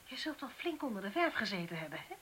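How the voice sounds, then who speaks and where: A woman's voice: medium pitch, sing-song, quick pace, fairly bright tone. An actress playing a sardonic, commanding female police inspector in a radio drama.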